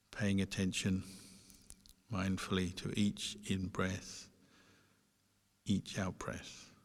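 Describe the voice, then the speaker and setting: Slow, low-voiced man guiding meditation. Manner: measured, pausing between short phrases. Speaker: meditation teacher. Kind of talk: guided meditation instruction. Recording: long reverberant hall sound.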